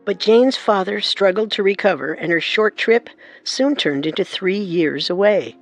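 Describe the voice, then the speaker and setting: A man narrating a true-crime radio drama in a measured, dramatic scripted style. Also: sits high, soft background music.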